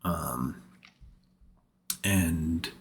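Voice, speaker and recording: A man giving casual gaming commentary in a rambling, think-aloud way, his voice rough from repeated colds. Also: deep voice, very slow. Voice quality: husky, rough voice. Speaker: game content creator.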